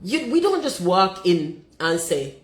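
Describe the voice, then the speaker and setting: Deep-voiced Jamaican woman, forthright. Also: big pitch swings, unhurried, echoey room. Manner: forthright, emphatic, slips into patois. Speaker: commentator.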